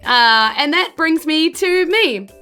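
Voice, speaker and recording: Bright-voiced bubbly New Zealand woman hosting. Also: high-pitched, expressive, slow, soft background music. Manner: bubbly, welcoming. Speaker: dungeon master and host. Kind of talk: chatty podcast hosting of a tabletop game.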